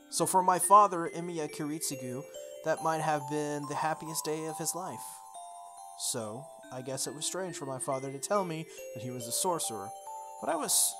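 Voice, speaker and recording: A playthrough host reading visual novel text aloud in a playful way, with casual asides. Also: mid-range voice. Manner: playful, interjects informal comments on the script. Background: game music.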